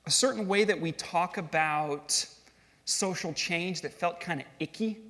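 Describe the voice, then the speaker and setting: High-voiced man in a reverberant hall. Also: a little slow, sharp tone. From grew up in Switzerland and California. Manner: conversational, self-deprecating, asks the audience questions. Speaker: speaker giving a talk. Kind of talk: storytelling stage talk. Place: large hall on stage.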